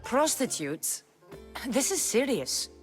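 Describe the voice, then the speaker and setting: A tough mercenary warrior woman in a video game, dry and blunt. Her mid-pitched voice still moves with lively inflection, with faint music underneath. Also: slow. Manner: dry, blunt, matter-of-fact. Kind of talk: video game cutscene acting.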